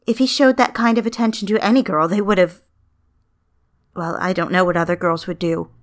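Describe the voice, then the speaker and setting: A woman's voice: lowish voice, steady tone, a little fast. A woman narrating a dramatic story in the first person, speaking confidingly and directly to the reader. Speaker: narrator and lead character.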